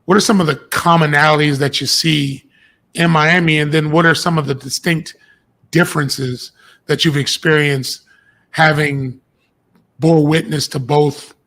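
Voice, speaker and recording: A man with an American accent hosts a political interview podcast. His voice sits a little high and has a clear creak to it, and he builds his questions thoughtfully, piece by piece. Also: a bit bright. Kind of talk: conversational interviewing.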